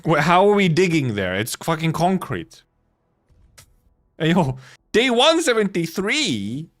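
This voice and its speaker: A man's voice: fairly high pitch, big pitch swings, a little slow, fairly bright tone, faint music behind. Rambling, casual male game streamer. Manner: rambling, loosely self-correcting, casual swearing. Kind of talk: casual live gameplay commentary.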